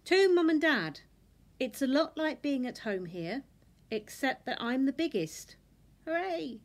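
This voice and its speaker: A woman's voice: sits high, very animated, unhurried, voice pushed up, heavily acted. A woman voicing an eager, earnest young male meerkat reading out his letters home in a storybook. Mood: excited.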